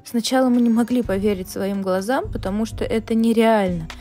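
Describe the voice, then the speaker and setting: A woman reads a scripted voice-over with a warm, mellow tone. She tells the story in a measured, dramatic way, with soft music behind her. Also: fairly high pitch. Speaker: vlogger narrating.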